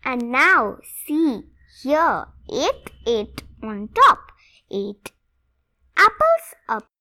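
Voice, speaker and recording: A young girl reads a children's rhyming book aloud in a high, lively voice. She goes very slowly, phrase by phrase, with an expressive, deliberate delivery. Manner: expressive, deliberate word-by-word reading in short phrases. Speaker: read-along presenter.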